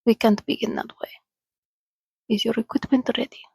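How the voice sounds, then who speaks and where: A woman voicing a composed, courteous, commanding male vampire in a hushed, breathy, formal manner for an ASMR storybook reading. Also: fairly high pitch, steady tone, normal speed, no echo, studio quality, full character voice. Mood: abrupt, commanding.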